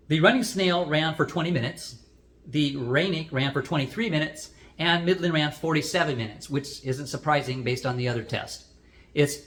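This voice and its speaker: A man's voice: medium pitch, fairly bright tone, slight background noise. Man plainly explaining a product review.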